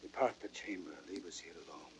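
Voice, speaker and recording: A man's voice: low-pitched, quick pace, husky, rough recording. An actor playing a dying old king, bitter and grieving over his son, in declamatory classical Shakespearean radio drama style. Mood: weak, anxious. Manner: declamatory, with big swells and drops.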